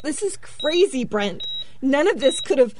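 An actress playing a distressed, disbelieving scientist in an emotional audio drama. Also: female voice, high-pitched, very animated, measured pace, background noise. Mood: distraught.

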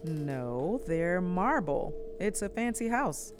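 A woman's voice: lowish voice, big pitch swings, slow. A woman playing a defensive, sardonic young working woman in a naturalistic audio drama, speaking in a casual, rambling way. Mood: nervous, sardonic.

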